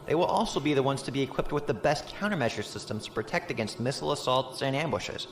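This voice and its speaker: An actor playing a candid, informal tactical analyst in a science fiction audio drama, explaining things casually. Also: male voice, medium pitch, expressive, fast, close to an everyday voice. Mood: candid, explanatory. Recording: echoey room, faint background ambience.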